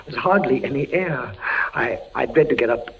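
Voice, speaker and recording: An actor playing a fevered, condemned French soldier in a prison, halting and anguished, in 1940s radio drama style. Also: male voice, mid-range voice, expressive, natural voice. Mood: fearful. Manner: halting, repeating phrases, anguished. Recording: muffled, noisy 1940s radio recording.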